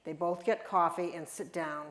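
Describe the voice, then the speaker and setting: A woman's voice: fairly low pitch, moderate pace, a bit bright. An older woman narrating a play, reading out scene settings and stage directions. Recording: echoey room.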